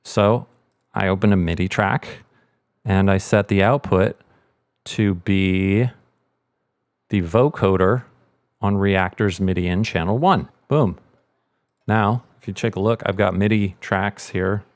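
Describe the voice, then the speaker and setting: A man's voice: low voice, slow, pauses often, no room sound, clean studio sound. A man explaining sound design in a casual, informal tutorial, thinking aloud as he goes.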